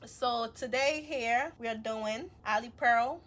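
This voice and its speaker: A woman's voice: fairly high pitch, slow, a bit bright. Chatty woman vlogger. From Liberia. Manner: chatty, addresses viewers as sis and y'all. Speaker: beauty vlogger. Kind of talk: casual wig unboxing tutorial.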